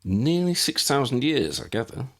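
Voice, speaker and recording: A man voicing a courteous, pedantic old gentleman scholar in an audiobook, wordy, formal and precise. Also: medium pitch, big pitch swings, moderate pace, pitched above the performer's own voice. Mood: measured, precise.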